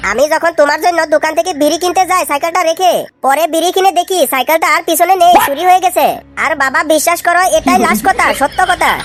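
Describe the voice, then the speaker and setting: A woman's voice: very high-pitched, fast talker, hardly any pauses. A woman voicing a cheeky, wheedling young slacker lad in a comedy cartoon. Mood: pleading. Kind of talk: amateur comedy cartoon dialogue.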